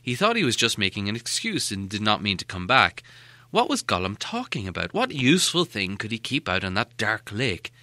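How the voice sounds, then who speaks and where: A man's voice: on the low side, huge pitch swings, a little fast, bright, forceful tone, no room sound. A man reading a story as an audiobook narrator, in a dramatic storytelling style.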